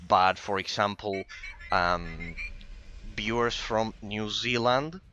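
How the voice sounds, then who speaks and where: Man, European accent, non-native English, bright forceful tone, rambling, repeats himself, gameplay commentary. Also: on the low side, takes it slowly, slight background noise. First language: not English. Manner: rambling, with frequent repeated words. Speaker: gaming streamer and English teacher. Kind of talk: casual commentary over gameplay.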